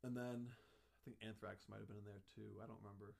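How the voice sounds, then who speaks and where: A man's voice: lowish voice, flat delivery, quick pace, gravelly, a little mellow. A young man hosting a casual chat, telling a story in a chatty way full of "like" and restarts. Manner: chatty storytelling, heavy use of "like".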